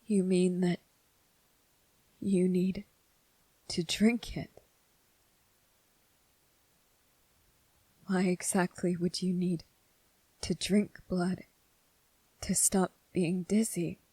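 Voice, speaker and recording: A woman voicing a gentle, earnest young male soldier in a soft-spoken, halting ASMR roleplay. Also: fairly low pitch, level tone, breathy edge, dry recording, rough recording. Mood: disbelieving. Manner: hesitant, halting, repeating phrases, earnest.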